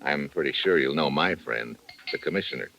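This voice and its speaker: Tough American undercover agent, very low voice, lively intonation, wry, terse, vintage radio drama. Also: male voice, brisk, vocal fry, dull audio, slight background noise, exaggerated voice. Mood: businesslike. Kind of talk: 1950s radio drama acting.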